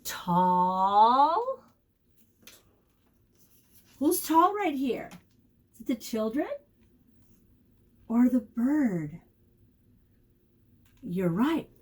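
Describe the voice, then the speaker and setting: A woman teacher leading a storytime lesson for young children, asking questions, encouraging them and repeating key words deliberately. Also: on the high side, sing-song, darkish tone, husky. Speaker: preschool teacher.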